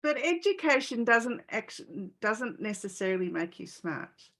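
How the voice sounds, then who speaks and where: A woman's voice: fairly high pitch, big pitch swings, a little slow, a bit bright. A woman hosting a casual interview podcast, plain-spoken and conversational as she agrees and adds her own views. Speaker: podcast host.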